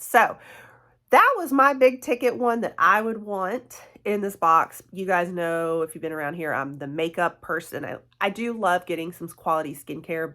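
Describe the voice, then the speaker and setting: A woman's voice: low voice, pushed and bright. A woman, stuffy from a cold, chatting in a friendly way with her viewers as a beauty vlogger. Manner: friendly, chatty, fillers and laughs, addresses viewers as guys and y'all. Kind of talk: casual chatty product review.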